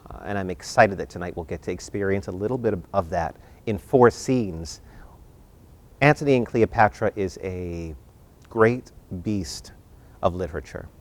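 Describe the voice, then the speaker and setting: Man, lowish pitch, event host, emphatic, theatrical phrasing, repeats phrases for effect. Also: moderate pace. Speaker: host and moderator of an arts talk. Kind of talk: warm-up hosting talk to a home audience and panel.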